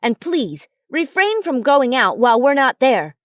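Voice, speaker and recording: A woman's voice: high voice, sing-song, unhurried, no echo, studio quality, pitched above the performer's own voice. A woman voicing an authoritative male alpha werewolf leader making announcements in a story. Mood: commanding. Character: commanding male alpha pack leader, a father.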